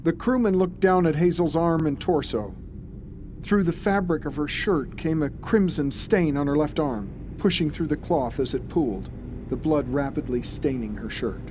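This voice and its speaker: A man's voice: fairly high pitch, normal speed, a little mellow, rough recording. A man narrating a scripted horror audio drama. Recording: faint background ambience noise.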